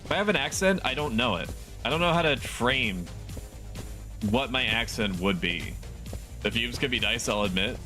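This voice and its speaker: A man's voice: medium pitch, lively intonation, normal speed, bright, forceful tone. Chatty man streaming over game music. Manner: rambling, chatty, laughs often. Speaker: VTuber streamer. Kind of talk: casual live-stream gameplay chat. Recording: game music playing under the voice.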